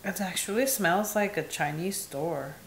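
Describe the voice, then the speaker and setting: A young woman from Indonesia reviewing perfumes in casual, candid chat, laughing at herself, filmed in a small bright room. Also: on the low side, unhurried, echoey room, slight background noise. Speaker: beauty vlogger.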